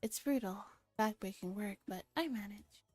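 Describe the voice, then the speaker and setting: Soft, mellow, whispery ASMR woman. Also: mid-range voice, normal speed, dry recording, soft background music. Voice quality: soft, breathy, often whispering. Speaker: streamer. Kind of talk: ASMR visual novel read-aloud with casual chat.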